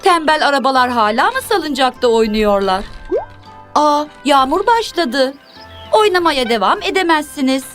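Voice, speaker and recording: A woman's voice: sits high, animated, moderate pace, a bit bright. A woman narrating a children's cartoon, speaking expressively in simple, instructive phrases as if to young viewers and characters. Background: music.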